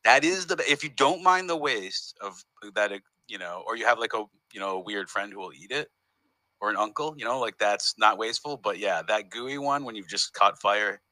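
A man's voice: on the low side, animated, brisk, bright, forceful tone, dry recording, rough recording. A man in his thirties from the United States, a comedian guest telling rambling stories in a casual interview. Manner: rambling, self-interrupting storyteller.

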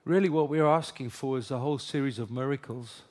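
A man's voice: medium pitch, a little fast, a little mellow. A middle-aged man with an Afrikaans accent, whose first language is Afrikaans, gives a conference sermon and leads a prayer, joking and then earnest. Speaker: preacher.

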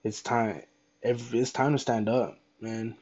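A man hosting a solo podcast, speaking off the cuff in an impassioned, halting way and repeating his phrases. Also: mid-range voice, flat delivery, a little mellow, muffled recording. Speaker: podcast host. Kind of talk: unscripted solo podcast monologue.

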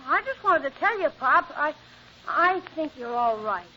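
A man's voice: extremely high pitch, sing-song, normal speed, sharp tone, heavily acted. A male actor playing an earnest young son, polite and matter-of-fact, in an old radio comedy. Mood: earnest, resigned. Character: earnest young son, solemn and loyal to Mom. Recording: dull, noisy old radio recording.

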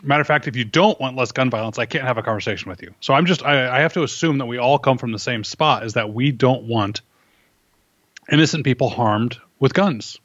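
A man being interviewed as a firearms instructor, explaining his opinions in a measured, argumentative way and posing rhetorical questions. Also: medium pitch, fairly bright tone, dry recording, dull audio.

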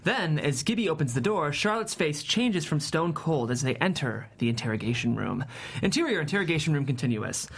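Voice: mid-range voice, big pitch swings, brisk, gravelly, breathy edge. A narrator reading stage directions aloud at a table read of a TV script.

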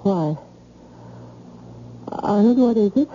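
A woman's voice: medium pitch, lively intonation, takes it slowly, mellow. A woman playing a devoted, anxious wife in an old-time radio drama, coaxing and emotive. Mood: worried, alarmed. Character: devoted, soothing, anxious wife of a troubled man. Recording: dull, hissy old radio recording.

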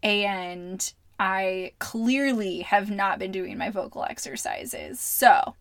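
A woman hosting a solo podcast as a life coach, upbeat and chatty, her voice slightly hoarse. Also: mid-range voice, slow, a bit bright, dry recording.

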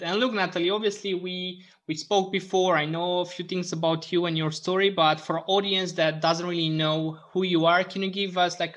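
A man's voice: on the high side, a bit bright, highs cut off. A man hosting a podcast, upbeat with lots of fillers. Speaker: podcast host. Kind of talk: podcast intro and interview.

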